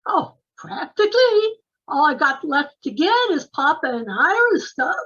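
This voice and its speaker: A woman's voice: high-pitched, sing-song, a little slow, fairly bright tone, muffled recording, exaggerated voice. A woman playing a cheerful, love-struck, naive housemaid in an old-time radio comedy, earnestly muddling her words with a drawl. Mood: proud, chatty.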